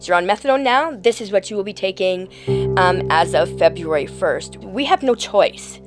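A woman speaking earnestly and outspokenly as an interviewee, with a loud voice. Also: mid-range voice, lively intonation, moderate pace, loud music behind the voice. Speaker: activist interviewee. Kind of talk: casual interview.

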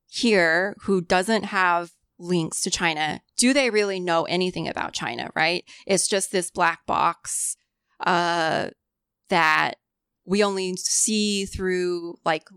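A woman's voice: lowish voice, fairly bright tone, smooth, no echo, studio quality. A woman whose first language was Cantonese, talking reflectively in a casual podcast chat, full of "like" and "you know".

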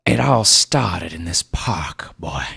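A man doing a dying old man's rasping whisper. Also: low-pitched, very animated, takes it slowly, sharp tone, exaggerated voice. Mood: bitter, breathless. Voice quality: harsh whispery rasp. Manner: breathless, cracking voice. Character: dying obese old man, bitter, gurgling.

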